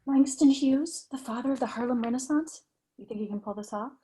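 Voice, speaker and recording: A woman's voice: on the high side, a little fast, a little hiss or hum, natural voice. A woman playing an eager, knowledgeable historian who is easily starstruck, in a drama table read. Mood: awed whisper. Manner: explanatory, excitable.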